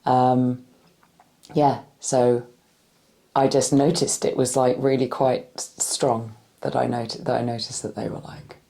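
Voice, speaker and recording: A woman's voice: very deep voice, flat delivery, measured pace, mellow. A tired woman in her forties from West London, with a West London accent and a slight Irish-tinged R. She answers interview questions thoughtfully, with many fillers and restarts, and her voice sounds a little cracky. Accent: West London with a slight Irish-influenced R. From West London, England. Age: 40s. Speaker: interviewee, movement practitioner.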